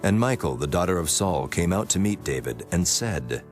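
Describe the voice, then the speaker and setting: A man narrating scripture from a script in a solemn, measured manner. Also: low-pitched, animated. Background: music.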